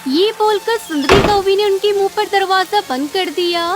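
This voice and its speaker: A woman's voice: extremely high pitch, normal speed, soft background music. A woman narrator reading a scripted children's story.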